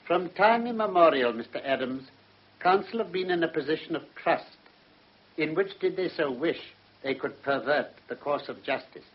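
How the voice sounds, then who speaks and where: An actor playing a stern, dignified judge with an English accent, speaking formally and severely in a radio drama. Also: male voice, fairly high pitch, lively intonation, normal speed, breathy edge. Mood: accusing. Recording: muffled old radio recording.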